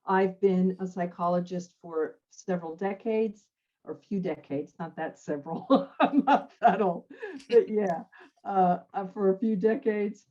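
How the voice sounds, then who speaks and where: A middle-aged woman psychologist from French Canada and the United States talks in a rambling, self-correcting way. Her tone is dark and mellow, and the audio is a little dull. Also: mid-range voice, a little slow. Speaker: psychologist guest. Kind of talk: casual panel chat.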